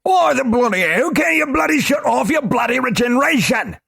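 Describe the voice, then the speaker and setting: A shrill, furious little minder. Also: male voice, sing-song, a little slow, gravelly, slightly rough, dry recording, clean studio sound, heavily acted. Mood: exasperated. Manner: shouting, spits the same curse constantly. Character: small irate male sphere minder, swearing.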